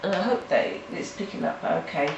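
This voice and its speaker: A woman's voice: lowish voice, lively intonation, dull audio. Woman sounding stuffy and nasal, explaining her coloring steps, bit far from the mic, faint hiss. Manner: halting, with restarts and fillers. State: nasal from hay fever. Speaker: art tutorial host. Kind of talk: casual step-by-step tutorial narration. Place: home in the countryside. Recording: roomy, mic at a distance, faint noise.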